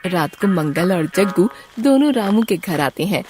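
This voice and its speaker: A woman's voice: fairly low pitch, animated, moderate pace, a little mellow, soft background music. A woman narrator reading a scripted moral story in a storytelling style.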